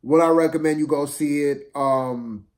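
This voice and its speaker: A man's voice: a little high, fairly even intonation, moderate pace, darkish tone. A man giving a casual, unscripted film review to viewers, rambling and hesitant, often repeating himself.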